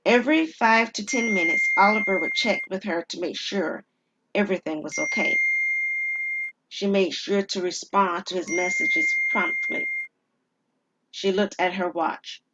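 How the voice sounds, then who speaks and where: Casting: female storyteller, mid-pitched, deliberate dramatic narration with suspense. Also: wildly swooping pitch, highs cut off, heavy background noise.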